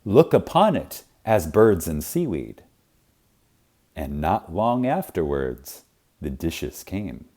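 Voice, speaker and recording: Slow, deep-voiced man reading reverently. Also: big pitch swings, mellow. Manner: reverent, emphatic, with deliberate pauses. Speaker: audiobook reader. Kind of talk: scripted narration of a spiritual self-help audiobook.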